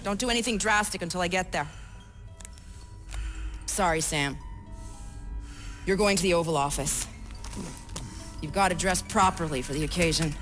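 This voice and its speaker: An actress playing a cool, resolute intelligence agent in a game cutscene, controlled and businesslike with a hard edge. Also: female voice, on the low side, normal speed, pauses often, fairly bright tone, close to an everyday voice. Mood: cool, commanding. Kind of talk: video game cutscene acting. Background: music.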